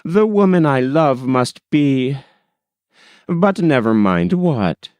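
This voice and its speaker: A man's voice: medium pitch, big pitch swings, slow, a little mellow, smooth, no room sound, voice pushed up. A man reading the lines of a worldly young city fellow, light and confiding.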